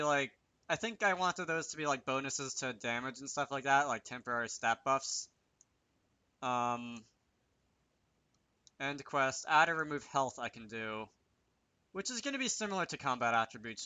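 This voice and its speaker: A man with a very bright, crisp voice talks through a programming tutorial off the cuff. He thinks aloud haltingly, pausing to work things out, and the sound is close and dry. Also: medium pitch, dry recording. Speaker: game developer presenting a tutorial series. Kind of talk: unscripted screen-recorded programming tutorial.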